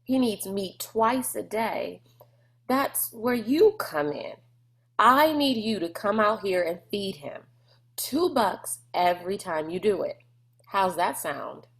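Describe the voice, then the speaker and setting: A woman voicing a gruff, boastful, bullying man in a storybook, with a folksy, mocking swagger. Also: mid-range voice, lively intonation, slow pace. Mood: blustering.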